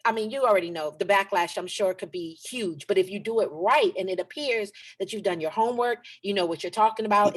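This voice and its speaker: A woman's voice: mid-range voice, rough recording. Woman chatting, slangy and effusive. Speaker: cast member of a table read. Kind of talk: casual feedback chat.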